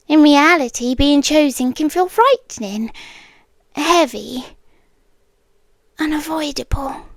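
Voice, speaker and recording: Woman playing a high, hushed, slow British yandere monster queen. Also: no echo, heavily acted. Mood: tender, possessive. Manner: hushed, deliberate, often whispering, regal. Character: possessive monster queen, obsessive captor, softly menacing. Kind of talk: ASMR roleplay, intimate scripted monologue.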